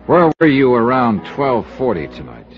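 An actor playing a hard-boiled, probing investigator, terse and atmospheric, in an old-time American radio detective drama. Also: male voice, mid-range voice, huge pitch swings, normal speed, faint music behind. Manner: clipped, terse questioning and atmospheric narration. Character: hard-boiled, probing male investigator narrating his case. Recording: muffled old radio broadcast recording.

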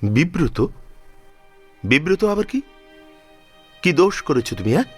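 A man's voice: on the high side, very animated, a little slow, breathy edge, soft background music, exaggerated voice. An actor playing an affectionate, fussing father-in-law of sixty-five in a Bengali audio drama, emphatic and repeating his words as he scolds protectively. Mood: protective scolding. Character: affectionate, fussing 65-year-old father-in-law, devout household elder.

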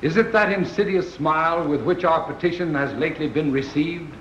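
Impassioned colonial statesman male actor, higher-pitched, declamatory, 1930s film drama with dull sound. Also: fairly bright tone, roomy sound, slight background noise, natural voice. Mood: grave. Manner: declamatory, measured, formal. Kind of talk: 1930s film acting, formal oratory.

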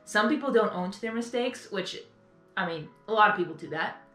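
A young adult woman giving casual reaction commentary in an earnest, halting, repetitive way. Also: mid-range voice, expressive, sharp tone, soft background music. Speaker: reaction video host. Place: small bedroom, indoors. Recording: roomy bedroom echo.

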